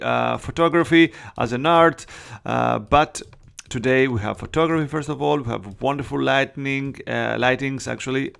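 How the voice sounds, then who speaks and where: Gravelly Greek-accented man teaching software. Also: mid-range voice. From Greece. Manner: chatty, many "uh" and "you know" fillers, non-native phrasing. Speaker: Photoshop tutorial host. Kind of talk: casual screen-recorded tutorial.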